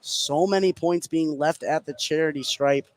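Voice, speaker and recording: A man's voice: a little high, moderate pace. An American man doing live play-by-play basketball commentary on radio.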